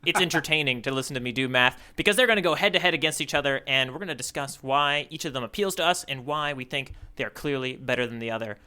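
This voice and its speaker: A man's voice: mid-range voice, quick pace, few pauses, bright, forceful tone, clean tone. A man co-hosting a casual comic podcast, talking playfully with mock-formal asides. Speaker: podcast co-host.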